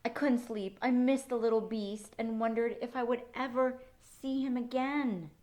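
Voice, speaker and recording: A woman voicing a caring, earnest young girl who narrates a picture book in a dramatic, expressive way. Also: fairly high pitch, moderate pace, pitched above the performer's own voice, lightly acted. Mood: wistful. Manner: dramatic, expressive storybook delivery.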